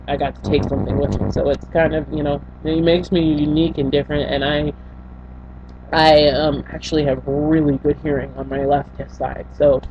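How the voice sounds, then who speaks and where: A woman's voice: deep voice, dark tone. Young woman telling her story on webcam. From Senegal. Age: young adult. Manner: halting, with many fillers and restarts. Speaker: vlogger. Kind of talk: personal storytelling to camera. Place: small bedroom indoors. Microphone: built-in webcam mic. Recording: dull lo-fi webcam audio with faint hum.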